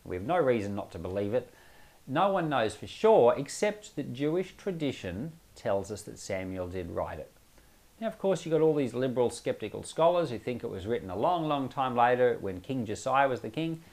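A male pastor talks through scripture in a conversational teaching style, with a mid-range voice that rises and falls in a lively way. He explains step by step, stresses his points and slips in rhetorical asides. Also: mid-range voice, normal speed. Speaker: pastor giving Bible commentary.

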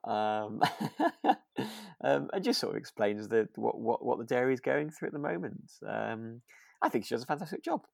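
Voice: mid-range voice, lively intonation, moderate pace, gravelly, no room sound, studio quality. A podcast co-host chatting casually about a radio drama in an enthusiastic, chuckly, slightly hesitant way.

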